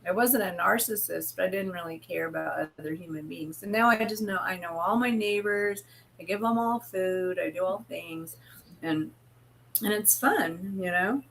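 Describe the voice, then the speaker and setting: A woman's voice: fairly low pitch, moderate pace, creaky, fairly bright tone, a little hiss or hum, rough recording. A woman chatting casually as a panel guest, blunt and wry, with salty language. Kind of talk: casual chat.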